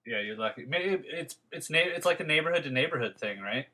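Bright-voiced hesitant podcast host man. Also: on the high side, lively intonation, fast talker. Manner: rambling, hesitant with fillers and restarts. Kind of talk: casual interview chat.

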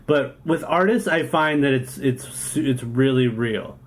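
A man's voice: medium pitch, unhurried. Reflective man interviewer, full of "like". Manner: reflective, filler-heavy, lots of "like". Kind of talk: casual interview talk.

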